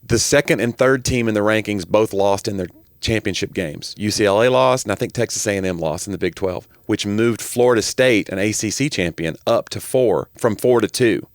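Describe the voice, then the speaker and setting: Low-voiced American man bantering on a podcast. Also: moderate pace, hardly any pauses, no echo. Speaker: podcast co-host. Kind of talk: casual chat. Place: small home room, indoors. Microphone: close podcast microphone on boom arm.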